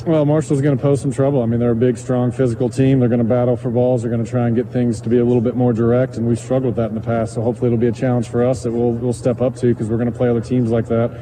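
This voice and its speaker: A man's voice: mid-range voice, level tone, racing, few pauses, mellow, low-quality recording. A middle-aged man, a soccer coach, giving a matter-of-fact, analytical post-game interview into a handheld microphone outdoors. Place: outdoors on a soccer field at night. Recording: clear outdoor field noise.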